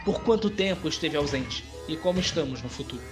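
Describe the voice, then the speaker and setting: A man playing a cautious, authoritative physicist in an audio drama, brisk, serious and decisive. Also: on the high side, lively intonation, over music, close to an everyday voice. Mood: eager, questioning. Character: cautious, authoritative male physicist leading a secret project.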